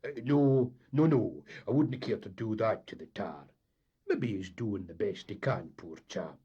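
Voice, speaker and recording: A mild old skipper who frets politely and smooths things over, as a man reads him. His voice is husky and a touch raised. The sound is dull and lo-fi. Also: on the low side, unhurried. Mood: soothing. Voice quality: mild, gently fussy. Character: mild elderly Scottish boat captain. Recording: dull lo-fi recording.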